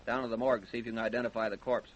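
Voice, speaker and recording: Actor as a rapid-fire American homicide detective. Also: male voice, medium pitch, level tone, dull audio, close to an everyday voice. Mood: businesslike, probing. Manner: blunt, interrogating. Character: brisk, pushy homicide detective. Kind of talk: old-time radio crime drama acting.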